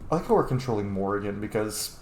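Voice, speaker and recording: A man's voice: on the low side, animated, quick pace, a little mellow. A man giving casual, sweary let's play commentary as host of a gaming channel. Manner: informal, sweary. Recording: roomy echo with faint noise.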